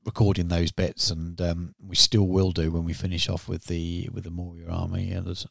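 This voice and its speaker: A man with a very deep, gravelly voice rambles through a casual podcast chat, trailing off and restarting his sentences. His voice sounds close and dry, with no room around it. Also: flat delivery, moderate pace, no room sound. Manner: rambling, many ums and restarts. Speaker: podcast co-host.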